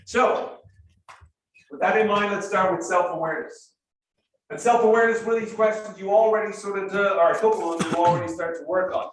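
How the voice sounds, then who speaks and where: A man with an Irish accent giving a sales training talk to a live group. He explains things step by step with energy, and his voice drops softly at the ends of phrases. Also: high voice, brisk, far from the mic, slight background noise. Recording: roomy echo, speaker off-mic.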